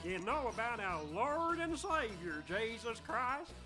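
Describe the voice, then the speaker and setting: A woman's voice: fairly high pitch, sing-song, a little slow, over music. A woman playing a polite, earnest door-to-door evangelist in a film comedy-drama.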